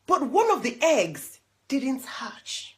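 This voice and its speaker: Animated, bright-voiced woman reading a storybook. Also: sits high. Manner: dramatic, animated, with drawn-out repeated words. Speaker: storyteller reading a picture book aloud. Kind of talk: expressive children's storytime reading with asides.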